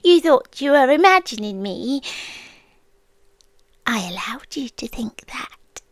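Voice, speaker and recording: Ancient possessive female stalker for ASMR roleplay, played by a woman: British, very slow, eerily tender, slipping into whispers, close and dry. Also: sits high, animated, dry recording, heavily acted. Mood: teasing menace. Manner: slow, hushed, often slipping into whispers, teasingly menacing. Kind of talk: ASMR roleplay, intimate dramatic monologue. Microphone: close-up ASMR microphone, dry.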